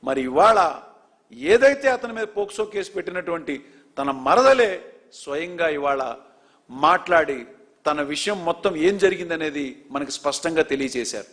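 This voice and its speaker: A male news presenter with a notably high voice, talking in an indignant, emphatic way. He keeps pressing with rhetorical questions as he comments on the story. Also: expressive, normal speed, a bit bright.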